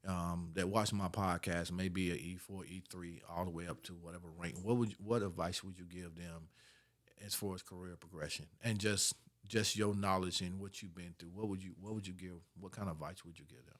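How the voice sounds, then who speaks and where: A man's voice: low-pitched, steady tone, brisk. A man in his fifties hosting a casual interview, speaking colloquially and repeating words as he thinks. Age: 50s. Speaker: podcast host.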